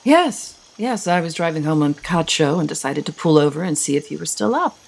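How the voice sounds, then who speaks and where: A woman's voice: sits low, animated, brisk, vocal fry, full character voice. A middle-aged woman playing an imperious, disapproving wealthy mother in an audio soap opera, with a formal, scolding manner. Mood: stern. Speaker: actor.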